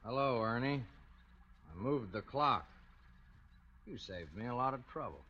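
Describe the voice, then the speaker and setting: A man's voice: mid-range voice, animated, unhurried, breathy edge, lo-fi sound. A man acting a wary husband and father in a 1940s radio drama. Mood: cool, menacing. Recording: noisy old radio broadcast recording.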